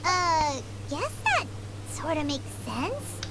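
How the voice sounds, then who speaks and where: A woman voicing a small, chatty, naive fairy companion with a squeaky childlike voice in an anime video game. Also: big pitch swings, slow pace, heavy background noise, heavily acted. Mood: puzzled, chatty. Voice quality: squeaky, shrill. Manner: speaks of self in third person.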